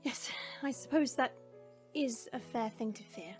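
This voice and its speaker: Dragon princess for audio drama, female actor: high voice, regal and formal, protective, music underneath. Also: unhurried, close to an everyday voice. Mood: solemn, reassuring. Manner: formal, stately phrasing. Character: powerful fire-wielding dragon princess, formal, proud, protective.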